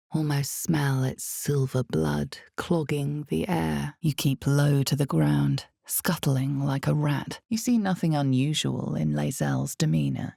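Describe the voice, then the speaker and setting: A woman voicing a grave, ominous fantasy game narrator, with a gravelly voice and a measured, dramatic delivery in scripted second person. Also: deep voice, warm tone, no echo, clean studio sound, exaggerated voice. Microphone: close studio microphone, dry.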